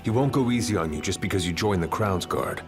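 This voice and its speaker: Deep-voiced, world-weary warrior mentor. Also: male voice, animated, fairly quick. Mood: stern, wry. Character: hardened veteran male warrior mentor, grave, wry. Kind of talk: video game cutscene acting. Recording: dramatic game music behind.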